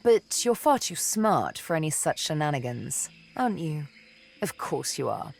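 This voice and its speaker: A woman playing a seductive, vengeful elven assassin and former slave in a video game, speaking in a deliberate, theatrical, teasing manner. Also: deep voice, very animated, gravelly, darkish tone, exaggerated voice. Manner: deliberate, teasing, dramatic storytelling with pauses. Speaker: video game companion character.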